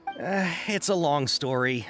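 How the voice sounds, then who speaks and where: Apologetic game shopkeeper, very animated pitch, hesitant, theatrical, eager to please, light music behind. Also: male voice, a little slow. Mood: apologetic, weary. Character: flustered, apologetic male caravan merchant, eager to please. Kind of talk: video game dialogue.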